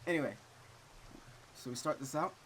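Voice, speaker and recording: A man hosting a visual novel playthrough, chatting casually with animated, wry reactions to what he reads. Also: on the high side, normal speed, a little hiss or hum, low-quality recording. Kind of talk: casual chatty let's play commentary, reading game text aloud. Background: game music, looping rain.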